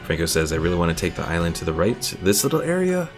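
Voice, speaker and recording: Guy with a deep voice doing chill game stream commentary, some music behind him. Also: big pitch swings, quick pace. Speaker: livestreamer, host of a gaming stream. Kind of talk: casual strategy game commentary, chatting with viewers.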